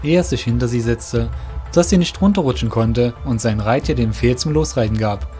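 A man's voice: medium pitch, a little fast, dark tone. A man narrating a scripted fantasy audio drama as storyteller. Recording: music under the voice.

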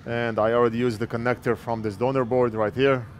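A man's voice: mid-range voice, flat delivery, brisk, a little hiss or hum. A man in his forties walking through an electronics repair step by step as he works at his bench, speaking plainly into a headset mic. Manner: plain, explanatory, talks while working. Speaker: repair technician. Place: electronics repair workbench indoors. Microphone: wired earpiece headset mic.

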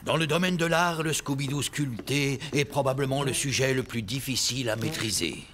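A man's voice: mid-range voice, moderate pace, a bit bright, slight background noise, natural voice. A man playing a mild, encouraging, didactic craft teacher in a cartoon. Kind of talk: cartoon voice acting.

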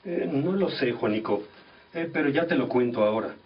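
An actor playing a kindly, attentive priest guiding novices in a radio drama. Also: male voice, mid-range voice, animated, normal speed, distant mic, muffled recording, a little hiss or hum, lightly acted.

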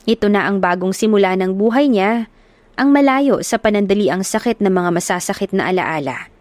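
A woman's voice: medium pitch, a little mellow, no echo. Woman narrating a love story, dry close mic.

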